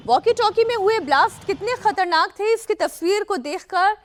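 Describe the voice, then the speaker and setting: High-pitched, urgent woman news anchor. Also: lively intonation, normal speed, a little hiss or hum. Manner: emphatic, urgent delivery with rhetorical questions. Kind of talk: dramatic news narration.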